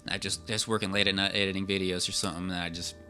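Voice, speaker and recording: Bright-voiced man hosting a casual podcast. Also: low voice, little pitch movement, racing, faint music behind. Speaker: podcast host. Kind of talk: casual group podcast chat.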